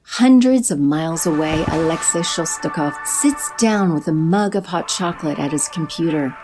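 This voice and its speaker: Low-voiced female audio drama narrator over music. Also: normal speed. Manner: steady, descriptive. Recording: music bed under narration.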